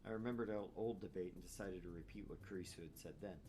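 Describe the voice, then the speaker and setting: A man's voice: sits low, fast talker, warm tone, slight background noise. A man reading visual novel narration aloud as a gaming host, with casual asides.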